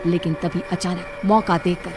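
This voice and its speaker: A woman's voice: on the low side, flat delivery, moderate pace, background noise. A woman narrator reading a scripted story in a dramatic storytelling style.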